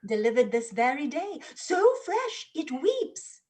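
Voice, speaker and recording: A woman's voice: high-pitched, very animated, slow, a little mellow, heavily acted. A woman playing a cruel orphanage matron, obsequious and affected, in a theatrical stage play reading. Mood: proudly cruel.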